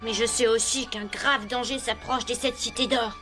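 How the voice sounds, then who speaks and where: A woman's voice: a little high, steady tone, normal speed, pushed and bright, soft background music. A woman playing a solemn prophetic figure in an old dramatic cartoon dub, warning of danger. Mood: grave, urgent.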